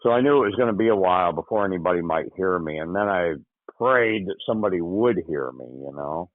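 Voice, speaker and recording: A man from Michigan, an interview guest, recounting a hunting accident in casual, halting speech with frequent pauses. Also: sits low, lively intonation, no room sound. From Michigan, USA. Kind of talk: casual spoken recollection. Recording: tinny phone line, dry.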